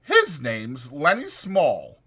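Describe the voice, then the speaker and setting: A man's voice: a little high, wildly swooping pitch, extremely slow, pushed and bright, husky, voice pushed up, exaggerated voice. A man voicing a wary, skeptical migrant ranch worker with terse, rustic speech for a dramatized audiobook. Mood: guarded.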